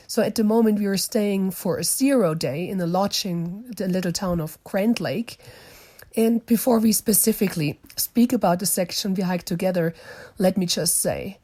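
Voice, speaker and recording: A hoarse woman hosting a casual podcast interview, chatty and laughing often, whose first language is German. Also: medium pitch, mellow. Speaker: podcast host. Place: lodging in a small mountain town.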